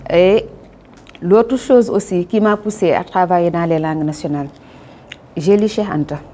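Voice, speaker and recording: A Senegalese woman being interviewed as a guest, speaking French in a measured, formal way with frequent hesitations; her first language is Wolof. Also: on the low side, warm tone, slight background noise. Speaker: guest, publisher and Wolof teacher. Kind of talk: interview answers.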